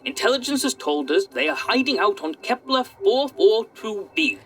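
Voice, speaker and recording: A man's voice: sits high, very animated, normal speed, a bit bright, full character voice. A man playing a pedantic, senile Welsh robot AI in a comic sci-fi audio drama. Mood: matter-of-fact, disdainful. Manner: pedantic, wordy, over-precise with figures. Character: fussy, senile male robot AI running galactic control centres.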